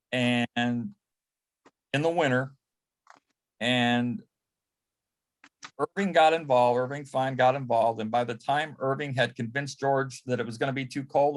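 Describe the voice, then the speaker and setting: A man speaking as a panel guest, reminiscing in a conversational, anecdotal way. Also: medium pitch.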